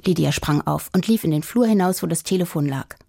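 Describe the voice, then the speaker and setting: A woman reading a children's story as an expressive audiobook narrator. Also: fairly low pitch, brisk, creaky, no room sound, clean studio sound.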